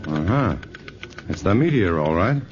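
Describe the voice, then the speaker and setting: A man's voice: low voice, very animated, measured pace, noisy background. An actor playing a polite, reserved male scientist in a 1950s radio drama. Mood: courteous. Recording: dull old radio recording, faint music.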